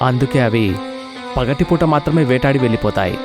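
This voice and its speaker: A man acts out a ghostly male lion king in an animated tale. He is solemn and commanding, a vengeful schemer in a middle-pitched voice. Music plays clearly underneath. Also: fairly quick, natural voice. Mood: scheming. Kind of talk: animated story dialogue.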